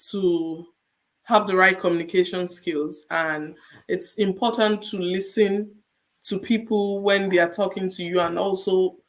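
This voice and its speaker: A Nigerian-accented woman, a registered nurse, giving measured, encouraging advice in a podcast interview and repeating her key points. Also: on the low side.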